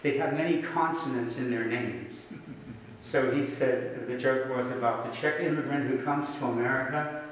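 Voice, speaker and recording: A man whose first language is Slovak, a poet giving a public reading, reading his poems slowly and deliberately and chatting between them with many fillers. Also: medium pitch, steady tone. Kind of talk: poetry reading with anecdotes. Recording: echoey hall, distant mic, faint noise.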